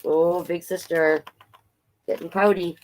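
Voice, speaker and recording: A woman's voice: on the low side, fairly even intonation, takes it slowly, darkish tone, poor audio. A woman storyteller reading a children's book aloud, with informal, playful asides. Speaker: drag king storyteller reading children's books.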